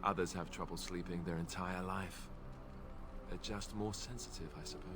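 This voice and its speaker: A man's voice: deep voice, fairly even intonation, normal speed, music in the background, lightly acted. An actor voicing a young male warrior in a video game, who is joking and self-deprecating with a dry, wry humor. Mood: somber.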